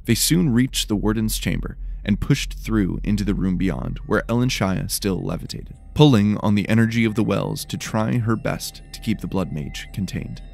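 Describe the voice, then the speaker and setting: Guy narrating a dark fantasy audio drama, lowish tired voice, lots of gravel. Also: moderate pace, few pauses, faint music behind. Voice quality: somewhat tired, worn voice.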